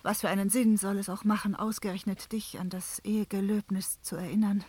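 An actress playing a jealous, wrathful goddess of marriage in a fantasy radio drama, theatrical and accusing. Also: female voice, mid-range voice, little pitch movement, a little fast, darkish tone, breathy edge, dry recording, natural voice. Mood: bitter, accusing.

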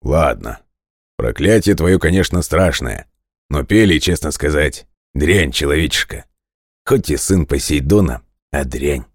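Mighty ageless god for a storybook played by a man: extremely low, weighty, authoritative, dry sound. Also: lively intonation, no echo, full character voice. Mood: grudging. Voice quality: very deep, resonant, clearly enunciated. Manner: weighty, commanding, deliberate. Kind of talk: audio fairy-tale character acting.

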